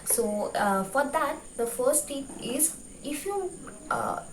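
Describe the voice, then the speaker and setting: A woman's voice: high-pitched, expressive, slow pace. A young woman from West Bengal with a Bengali Indian accent, a native Bengali speaker, gives informal advice to viewers as a vlog host, often repeating and rephrasing herself. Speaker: YouTube host. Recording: roomy, distant mic with faint noise.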